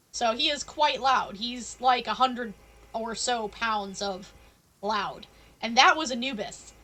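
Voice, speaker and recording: Female streamer, somewhat high voice, casual live gameplay chat. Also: pushed and bright. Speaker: game streamer.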